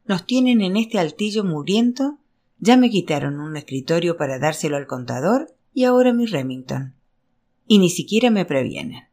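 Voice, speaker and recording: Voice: medium pitch, expressive, normal speed, darkish tone. A storyteller plays an indignant young man working in a newsroom, from an audiobook novel. He sounds aggrieved and keeps up a formal protest.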